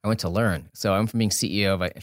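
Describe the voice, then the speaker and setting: A man's voice: lowish voice, steady tone, racing, dry recording, clean studio sound. A man being interviewed as a guest, an entrepreneur and author, talking informally and thinking aloud as he breaks off and rephrases. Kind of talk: casual conversational interview.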